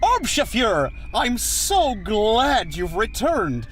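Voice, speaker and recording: A man's voice: high-pitched, huge pitch swings, takes it slowly, a bit bright, heavily acted. An actor playing a gung-ho, boyish male aviator, eager and declamatory, in a pulp adventure audio drama. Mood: fawning zeal.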